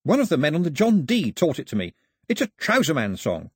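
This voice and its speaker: A man acts out a cheerful, talkative young male islander from a storybook. The voice jumps high and swings about eagerly, explaining everything with emphasis, recorded close and dry. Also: wildly swooping pitch, a little fast, slightly rough, dry recording, exaggerated voice. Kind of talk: storybook character dialogue.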